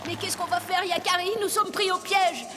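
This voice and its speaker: A woman voicing a small, anxious but eager boy sidekick in a French cartoon, childlike and expressive. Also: extremely high pitch, moderate pace. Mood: worried. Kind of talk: French animated series dubbing. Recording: reverberant hall sound, music behind.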